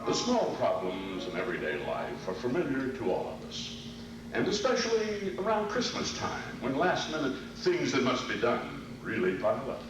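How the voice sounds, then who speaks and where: Animated, echoey male radio-show announcer. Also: mid-range voice, moderate pace, music in the background. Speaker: announcer and presenter. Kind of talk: old-time radio announcing, scripted narration. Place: convention stage before an audience. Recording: echoey noisy hall, distant microphone.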